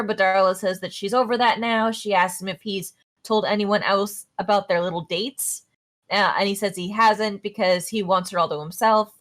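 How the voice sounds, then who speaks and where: A woman co-hosting a podcast, retelling a TV episode in a chatty way and laughing often. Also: mid-range voice. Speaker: podcast co-host. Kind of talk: casual episode recap.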